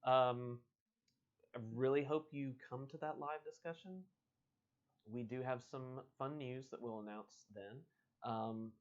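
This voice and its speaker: Man, casual live-stream host, informal book chat and reading, slightly muffled audio. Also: mid-range voice.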